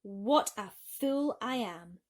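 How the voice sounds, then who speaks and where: A woman's voice: mid-range voice, animated, takes it slowly, a little mellow. A woman voicing a gloomy, grumbling young man in a storybook, complaining dramatically. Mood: glum.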